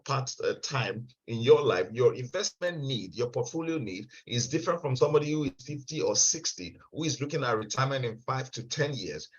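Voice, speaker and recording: A man presenting an online investment seminar, often stumbling and repeating words and checking whether listeners can hear him. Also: medium pitch. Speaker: webinar presenter. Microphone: video call. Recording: dull lo-fi video call audio.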